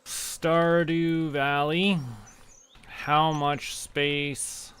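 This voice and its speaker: A young man streaming a farming game, relaxed, muttering to himself and counting aloud. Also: on the high side, drawn-out words, smooth. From Canada. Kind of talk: relaxed gameplay commentary.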